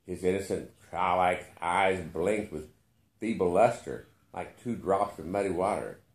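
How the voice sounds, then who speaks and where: A man reading a literary short story aloud with expressive narration. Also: low voice, measured pace, roomy sound. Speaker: story reader.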